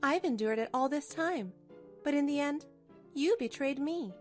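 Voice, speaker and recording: A woman's voice: high voice, expressive, moderate pace, a little mellow, soft background music. A woman voicing a betrayed young wife in a manga dub, cold and scornful, with a cutting, accusatory delivery. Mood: angry. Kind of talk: dubbed manga drama acting.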